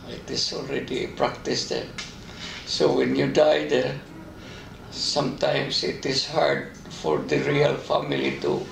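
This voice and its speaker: Very gravelly Filipino-accented man, slow-paced. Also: mid-range voice. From Philippines. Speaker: interviewee. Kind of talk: conversational interview answers. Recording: roomy, distant mic, faint noise.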